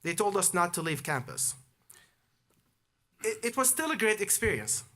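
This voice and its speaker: Bright, higher-voiced man giving a speech. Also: very animated, moderate pace. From Amman, Jordan. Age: 30s. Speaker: commencement speaker, alumnus. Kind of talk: prepared commencement speech telling a personal story.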